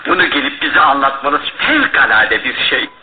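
An actor playing a detective's earnest, puzzled sidekick with a questioning manner in a radio drama. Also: male voice, mid-range voice, very animated, normal speed, close to an everyday voice. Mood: curious, puzzled. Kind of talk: Turkish radio theatre acting. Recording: tinny old radio broadcast with clear noise.

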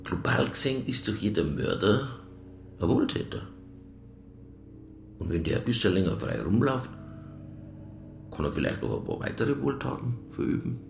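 Gravelly Bavarian police detective, deadpan. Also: male voice, fairly low pitch. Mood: cynical. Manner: dry, deadpan, unhurried. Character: fat, hard-drinking, cynical male police detective, gruffly deadpan. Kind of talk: radio crime drama acting. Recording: roomy sound, faint background noise.

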